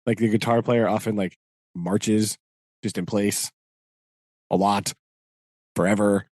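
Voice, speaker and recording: A man's voice: fairly low pitch, fairly even intonation, a little slow, darkish tone, dry recording. A man co-hosting a podcast in casual chat, loose and full of fillers, often laughing. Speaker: podcast co-host.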